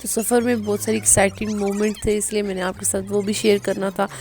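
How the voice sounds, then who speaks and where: A woman vlogger, talking casually to her viewers with a hoarse, sore-throated voice. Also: mid-range voice, flat delivery, quick pace, a little mellow, soft background music. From Pakistan. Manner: chatty, addresses viewers directly. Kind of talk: casual vlog narration.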